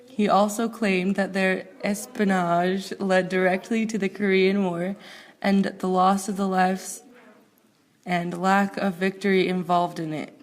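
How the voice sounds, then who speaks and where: A woman reading scripted narration aloud as a student presenter, halting and now and then stumbling over words. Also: mid-range voice.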